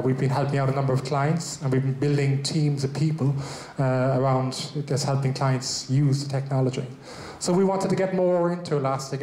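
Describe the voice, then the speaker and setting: A man's voice: mid-range voice, dark tone. Irish guy hosting a tech meetup on a handheld mic, lots of ums and restarts, echoey room. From Ireland. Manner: upbeat, loose, with frequent fillers and restarts. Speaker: event host, head of technology. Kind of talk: welcome speech at a tech meetup. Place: large event room with a small stage.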